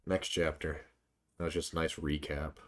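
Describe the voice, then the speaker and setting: A man's voice: a bass voice, flat delivery, normal speed. A man chatting casually as a game streamer, playful and joking in his live commentary.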